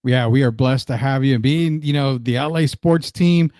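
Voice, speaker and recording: A man's voice: mid-range voice, normal speed, a little mellow, no room sound, clean studio sound. American man hosting a chatty sports show. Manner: chatty promotional patter. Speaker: sports talk show host. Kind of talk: upbeat show introduction and interview.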